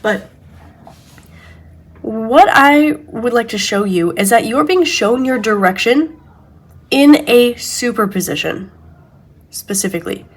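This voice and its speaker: A woman with a stuffy nose giving an intuitive tarot reading, speaking slowly and softly, with pauses and restarts. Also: fairly high pitch, lively intonation. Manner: slow, soft, searching delivery with pauses and restarts. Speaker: tarot reader.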